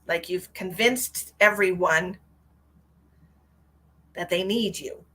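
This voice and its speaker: A woman, congested, chatting warmly with viewers during a live tarot reading. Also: on the low side, slow, slightly rough. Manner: chatty and affectionate, addresses viewers directly. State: stuffy, congested. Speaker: psychic tarot reader.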